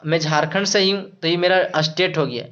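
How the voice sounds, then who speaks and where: A man teaching English to Hindi speakers. He repeats himself, restarts words and slows right down when he says the English examples. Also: on the high side, a bit bright, clean tone. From Jharkhand, India. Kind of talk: explaining English phrases in Hindi. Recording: dull lo-fi sound.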